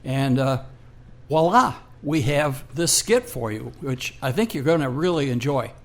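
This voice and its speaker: An American man co-hosting a radio show, explaining background in an unscripted, halting way. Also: mid-range voice, expressive. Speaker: radio co-host.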